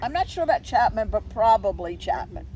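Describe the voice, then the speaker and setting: Animated woman organizer, outdoors with traffic noise. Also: fairly high pitch, a little fast, low-quality recording. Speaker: event organizer. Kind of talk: unscripted interview talk promoting a community event. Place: outdoors in a downtown park.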